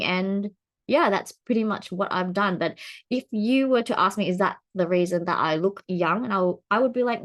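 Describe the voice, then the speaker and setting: A woman in her forties vlogging to camera in a casual, chatty way, laughing often, with her pitch swinging up when she gets excited. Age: 40s.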